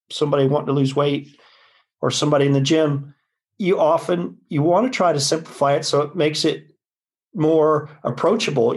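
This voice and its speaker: Higher-pitched man explaining science. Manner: rambling, self-correcting, explanatory. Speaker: nutrition scientist. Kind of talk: conversational expert discussion.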